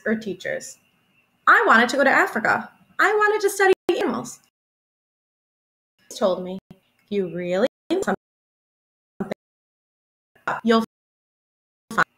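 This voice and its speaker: A young woman reads a storybook aloud for children. Her voice is fairly high and very animated, rising and falling expressively as she tells the story in a teacherly, deliberate way. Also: rough recording. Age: young adult. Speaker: elementary school teacher reading aloud. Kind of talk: expressive children's picture-book read-aloud. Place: small room at home, indoors.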